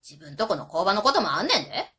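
A woman acts out a hot-tempered Kansai auntie for a radio drama. Her voice is bright and forceful, swinging widely in pitch. She scolds bluntly in colloquial speech. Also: big pitch swings, quick pace. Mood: indignant, pressing. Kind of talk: web radio drama acting.

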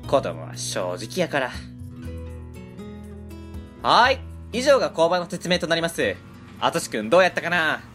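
A woman's voice: deep voice, big pitch swings, moderate pace, long pauses, a bit bright, soft background music. A woman playing a laid-back Kansai factory boss. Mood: reflective. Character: easygoing 39-year-old male small-factory owner. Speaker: narrator and lead character. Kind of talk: amateur web radio drama.

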